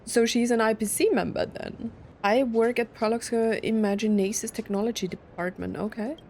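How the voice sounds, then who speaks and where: A woman's voice: fairly high pitch, fairly even intonation, moderate pace, darkish tone, slight background noise. A young adult woman giving casual let's play commentary, chatty and thinking aloud as she goes. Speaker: game streamer. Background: game music.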